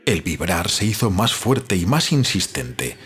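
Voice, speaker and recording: A man reading a novel aloud as a narrator, in a measured, solemn storytelling manner. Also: sits low, big pitch swings, fairly bright tone, slight background noise. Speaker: audiobook narrator. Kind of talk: scripted literary narration of a novel.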